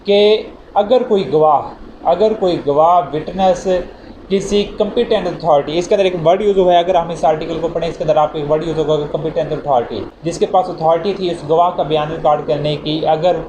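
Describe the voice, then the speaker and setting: A male lecturer explains legal articles in an easy, conversational way. His voice sits a little on the high side. He reads the English clauses slowly before breaking them down, and steady background noise runs under the recording. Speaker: law lecturer.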